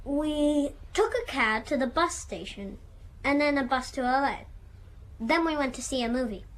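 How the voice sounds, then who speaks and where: A man's voice: very high voice, lively intonation, moderate pace, fairly bright tone. A young male actor playing an anxious ten-year-old boy, hesitant and plain-spoken in a radio drama. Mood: anxious, subdued. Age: child. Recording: noisy ambient background.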